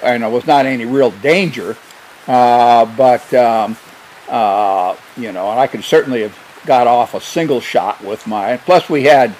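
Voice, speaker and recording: An older man, a former wildlife biologist, telling stories in an unhurried, hesitant way with many fillers. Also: mid-range voice, darkish tone, slight background noise, rough recording. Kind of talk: casual storytelling.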